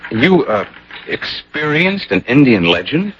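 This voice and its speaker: An actor in an old-time radio drama playing an ordinary young husband, affable, baffled and skeptical, in a casual manner. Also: male voice, medium pitch, sing-song, measured pace, a little hiss or hum. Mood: puzzled. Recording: dull lo-fi old radio recording.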